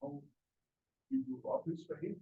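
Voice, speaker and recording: A man's voice: very high-pitched, very animated, measured pace, vocal fry, a little hiss or hum. A man, a county commissioner, asking a hesitant question at a county board meeting. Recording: roomy, distant, muffled meeting recording.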